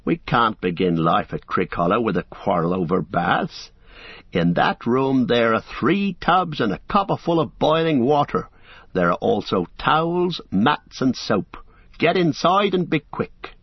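A man voicing Merry, a brisk, bossy young male hobbit who organizes his friends. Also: mid-range voice, slightly rough, dry recording. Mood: brisk organizing. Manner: lively, bustling, commanding. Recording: slightly muffled dry recording.

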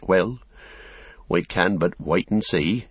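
A man's voice: low voice, slow pace, breathy edge, no echo, muffled recording, heavily acted. A man voicing a tired, stoic male companion in a fantasy storybook, speaking plainly and with resignation. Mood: resigned.

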